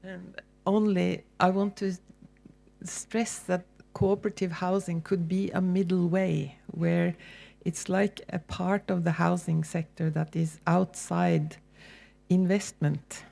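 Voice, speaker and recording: A woman with a Norwegian accent giving a relaxed, conversational public lecture as a university lecturer, with frequent ums and restarts. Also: on the low side, slow pace, vocal fry. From Norway.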